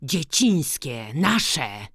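A woman's voice: low-pitched, sing-song, very slow, a bit bright, breathy edge, no room sound, studio quality, exaggerated voice. A woman voicing an aggrieved adult peasant son in an audiobook, firm and heated, in rural dialect. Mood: defiant. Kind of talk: audiobook character dialogue.

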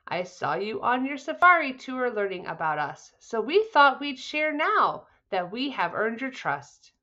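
A woman plays an eager storybook monkey. He is friendly and reassuring, with a bright, high, bouncy voice in slightly dull sound. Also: very animated, a little slow, full character voice.

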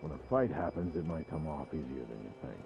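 Gruff armorer, extremely deep, gravelly, grumbling, video game, music behind. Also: male voice, lively intonation, a little fast, full character voice, rough recording.

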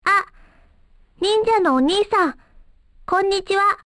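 A woman's voice: very high-pitched, lively intonation, slow, sharp tone, dry recording, clean studio sound, heavily acted. A woman playing a polite, naive, cheerful young street-performer girl in a video game, with a guileless, girlish manner. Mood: polite, friendly.

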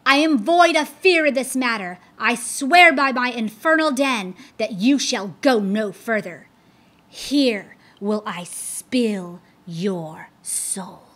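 A woman putting on a booming proud fiend prince. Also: lively intonation, measured pace, few pauses. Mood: threatening. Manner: loud, threatening, hateful declamation. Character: menacing male fiend, proud prince of destruction. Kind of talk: storybook read-aloud.

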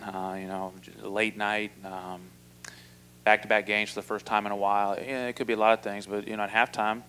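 A man in his forties, a basketball coach answering press questions after a loss, measured and halting with many fillers, speaking into a table mic in a press room. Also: lowish voice, a little hiss or hum. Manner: measured, flat delivery with many fillers and restarts. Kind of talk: postgame press conference answers. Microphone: table mic in front.

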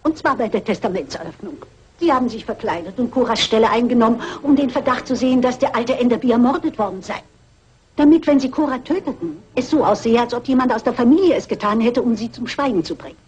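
Dull, lo-fi radio crime drama audio of a mature lady sleuth played by a woman. Her voice sits fairly high and she speaks with dry, precise dignity, shrewd and composed throughout. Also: lively intonation, quick pace, darkish tone, a little hiss or hum, close to an everyday voice. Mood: calm, accusing.

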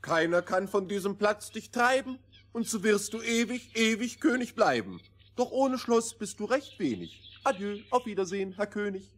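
High, swooping rhyming joker. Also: male voice, expressive, normal speed, fairly bright tone. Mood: mocking. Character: teasing, sing-song rhyming fairy-tale companion. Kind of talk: fairy-tale radio play acting.

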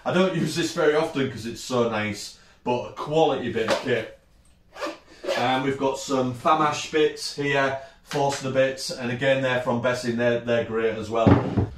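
A British man in his thirties, chatty and relaxed, giving a casual tour of his small indoor woodworking workshop, recorded on the camera mic. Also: medium pitch, unhurried, background noise. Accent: British English. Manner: relaxed, chatty, lists tools in short phrases. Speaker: woodworking channel host.

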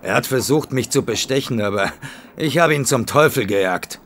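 An actor playing a brusque, loyal young stable lad with a blunt manner in a German radio play. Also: male voice, mid-range voice, expressive, moderate pace, a bit bright, natural voice. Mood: obedient. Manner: blunt, gruff with strangers. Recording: clearly noisy background ambience. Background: stable with animal sounds.

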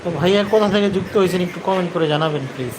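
A young man talks casually to viewers as a live streamer. His voice sits a little high for a man. Clear background noise runs through the recording. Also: racing.

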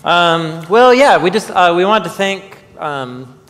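A man's voice: high-pitched, expressive, slow pace, fairly bright tone. Man hosting in an echoey hall. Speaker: host and presenter. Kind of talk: casual live stage welcome and announcements. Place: theatre-style church sanctuary stage, indoors. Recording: echoey hall, stage event.

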